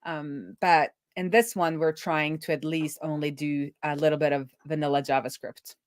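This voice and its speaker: A woman's voice: deep voice, fairly even intonation, moderate pace. A woman thinking aloud in a chatty, casual way as she live-streams a coding session. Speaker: streamer and web developer.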